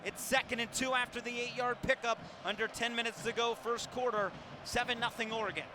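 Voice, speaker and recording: High-pitched male football play-by-play announcer. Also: a little fast. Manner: clipped, descriptive phrasing, excitedly projected on big plays. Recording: loud stadium ambience behind the voice. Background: stadium noise.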